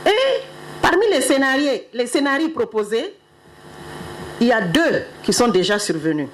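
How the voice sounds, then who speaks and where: A middle-aged woman speaking on behalf of an official, giving a solemn, measured ceremonial address. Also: medium pitch, slight background noise, low-quality recording. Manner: measured, solemn, stately delivery.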